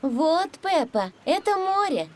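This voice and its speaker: A woman's voice: very high voice, sing-song, slow, a little hiss or hum, full character voice. A woman voicing a friendly, patient mother kangaroo who explains things simply, in a Russian cartoon dub.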